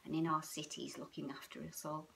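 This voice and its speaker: A British woman in her fifties hosting a children's storytime, talking in an animated, sing-song way with frequent tag questions. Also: low-pitched, brisk, creaky, a little hiss or hum. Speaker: children's storytime and yoga host. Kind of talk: chatty, child-directed livestream talk. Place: small room at home, indoors.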